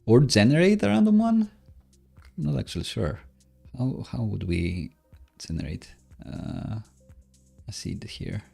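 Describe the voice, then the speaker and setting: A man casually commentating as he codes live, musing and questioning himself in a halting way. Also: medium pitch, lively intonation, gravelly, a little mellow, slightly rough, faint music behind. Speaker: live-streaming programmer host. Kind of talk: casual live coding commentary, thinking aloud.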